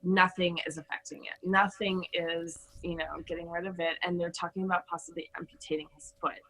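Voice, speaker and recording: A woman's voice: lowish voice, level tone, brisk, music in the background, poor audio. A middle-aged American woman from Hawaii, chatting effusively and telling personal stories as a podcast guest. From Hawaii, United States. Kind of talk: casual personal storytelling in an interview.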